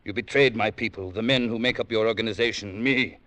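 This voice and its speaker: An actor playing a dignified, quietly menacing underworld lieutenant with formal, deliberate speech in a 1940s radio drama. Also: male voice, on the low side, dry recording, close to an everyday voice. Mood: stern, menacing.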